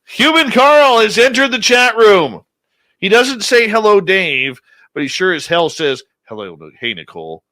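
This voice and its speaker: A man's voice: high voice, measured pace, dry recording. A man hosting a radio show, joking and bantering casually with guests. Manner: joking, playful, animated.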